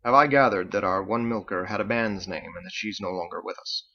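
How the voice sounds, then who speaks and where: A man's voice: low-pitched, sharp tone, lo-fi sound, full character voice. A man voicing a learned, pipe-smoking ship's captain who muses in bookish, drowsy phrases for an audiobook. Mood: musing. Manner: ruminating, unhurried, bookish phrasing.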